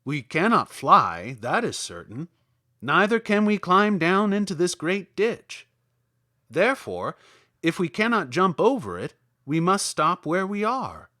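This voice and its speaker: A man's voice: high voice, big pitch swings, unhurried, pushed and bright, no echo, studio quality, voice pushed up, exaggerated voice. A man voicing a friendly living scarecrow in a storybook, brave and logical, reasoning emphatically step by step. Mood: reasoning firmly.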